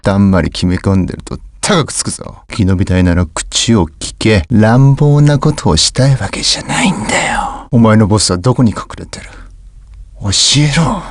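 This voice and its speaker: An actor playing a menacing, rough interrogator demanding answers in a video game. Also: male voice, lowish voice, expressive, quick pace, no room sound, studio quality. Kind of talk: Japanese dub of game dialogue.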